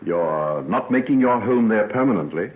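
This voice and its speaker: Man playing gentleman doctor, earnest, formal, persuasive, very lively intonation, phone-like old radio drama. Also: fairly low pitch, normal speed, lightly acted. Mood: pained, restrained. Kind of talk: old-time radio acting.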